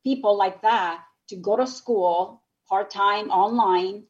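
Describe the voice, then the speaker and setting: A woman's voice: mid-range voice, slow pace. A woman who grew up in Nicaragua talks through her path as a radio guest, earnest and reflective. English is her second language. Her voice sits mid-range and comes through a dull, lo-fi line. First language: Spanish. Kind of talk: radio interview.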